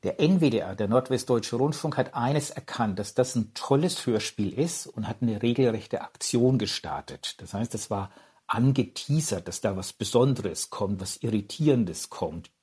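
A man's voice: mid-range voice, lively intonation, moderate pace, darkish tone, breathy edge. A man, a media historian, explaining in an interview with filler phrases. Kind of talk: expert interview.

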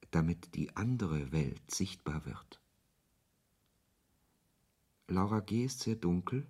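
Deep, husky, ironic author-narrator. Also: male voice, darkish tone, heavily acted. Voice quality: low, husky voice. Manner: literary, sardonic, reflective. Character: brooding, ironic male author narrating his own story. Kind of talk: first-person radio play narration and dialogue.

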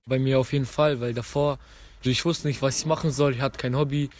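A man's voice: medium pitch, steady tone, darkish tone. A young man, a youth league player, answering an interview casually and stringing his story together with "danach". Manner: rushed, strings clauses with "danach".

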